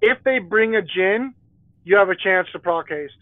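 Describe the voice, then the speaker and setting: A man's voice: sits high, lively intonation, moderate pace, no room sound, phone-quality sound. A man co-hosting a casual gameplay commentary, joking and bantering playfully. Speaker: gaming co-host.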